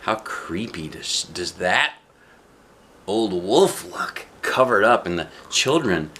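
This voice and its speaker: Animated deep-voiced man reading a picture book. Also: slow, a little hiss or hum. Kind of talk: expressive storybook narration with casual asides.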